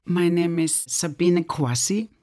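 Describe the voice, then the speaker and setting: A middle-aged woman from Germany, an author telling her personal story in an earnest way that drops to soft whispers. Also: lowish voice, unhurried. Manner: earnest, drops to soft whispers when recounting inner moments. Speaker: self-published author. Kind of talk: personal testimony.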